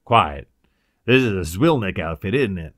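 A man voicing a brisk, fearless investigator who snaps out clipped orders in a pulp science fiction audiobook. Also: low-pitched, animated, a bit bright, dry recording, studio quality. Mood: curt, commanding. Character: crisp, commanding male investigator, brisk and fearless.

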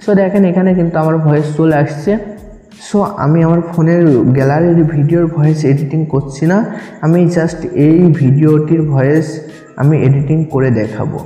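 A man's voice: fairly high pitch, steady tone, normal speed, mellow, faint music behind. A man with a Bangladeshi accent giving an instructional, step-by-step app tutorial voice-over, repeating his directions as he goes. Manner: instructional, repetitive. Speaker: tutorial presenter. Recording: echoey, reverberant room.

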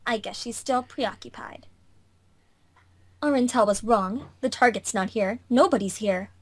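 Woman voicing a high-pitched bossy little anime girl. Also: unhurried. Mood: frustrated. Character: small bossy young girl hunter, commanding and sassy. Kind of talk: anime visual novel fan dub.

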